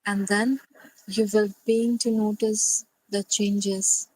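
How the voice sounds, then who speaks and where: A woman leading a guided sleep meditation, speaking gently and soothingly in short phrases with long pauses. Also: medium pitch, level tone, no room sound, low-quality recording.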